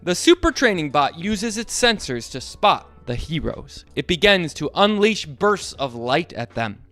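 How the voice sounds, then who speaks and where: A man reading a storybook aloud to children in a playful, animated way, asking them to join in. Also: fairly high pitch, a little slow, soft background music.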